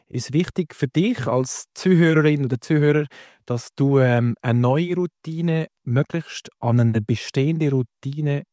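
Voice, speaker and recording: A man with a Valais Swiss accent speaks as a podcast guest and coach. His voice is soft-edged and mellow, captured dry. He explains things conversationally, addressing the listener directly. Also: mid-range voice, dry recording. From Valais, Switzerland.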